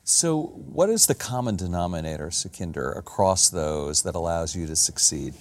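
A man interviewing a guest, asking questions in a relaxed, conversational way. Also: deep voice, moderate pace.

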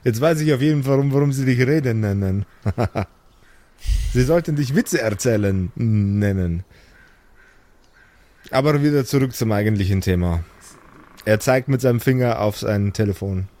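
A man's voice: mid-range voice, moderate pace, pauses often, warm tone, dry recording. A man improvising narration as the game master of a pen-and-paper audio play.

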